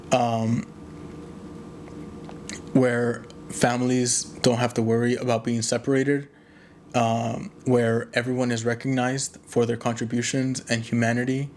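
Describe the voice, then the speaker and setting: Slow, earnest man with a faint hiss behind. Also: mid-range voice, level tone, a little mellow. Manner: earnest, hesitant with frequent fillers. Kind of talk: reflective interview answer.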